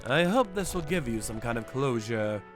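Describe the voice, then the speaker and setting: A man's voice: mid-range voice, lively intonation, moderate pace, close to an everyday voice. A man voicing a ruthless underworld avenger in a manga dub, menacing and theatrical as he narrates. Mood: grim narration. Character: ruthless male underworld avenger narrating his torture jobs. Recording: background music under voice.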